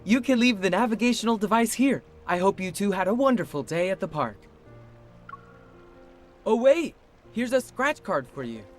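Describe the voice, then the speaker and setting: An actress playing a cheery, polite park staff member in a game, with bubbly customer-service manners. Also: female voice, medium pitch, lively intonation, moderate pace, long pauses, faint music behind, close to an everyday voice. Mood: cheerful. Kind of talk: video game acting.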